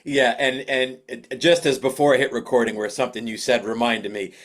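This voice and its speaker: A man's voice: mid-range voice, steady tone, normal speed. A man hosting a podcast, chatting casually and joking at his own expense. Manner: chatty, self-deprecating, laughs easily. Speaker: podcast host. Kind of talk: casual interview chat.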